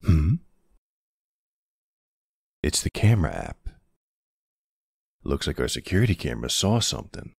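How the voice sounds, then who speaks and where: A man playing a protective, gruff but tender older boyfriend in an ASMR audio roleplay, unhurried and plain-spoken, with a husky, gravelly voice. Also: very deep voice, big pitch swings, dry recording, clean studio sound, full character voice. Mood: wary.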